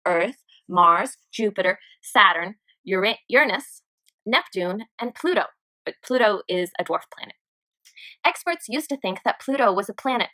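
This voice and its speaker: A woman teacher reading a children's nonfiction book aloud in an expressive storytime manner. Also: mid-range voice, no echo, low-quality recording.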